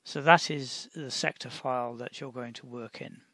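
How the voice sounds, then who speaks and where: A man narrating a step-by-step software tutorial in a deliberate, instructional way, often pausing mid-sentence. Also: mid-range voice, fairly even intonation, vocal fry, no room sound. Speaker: tutorial presenter.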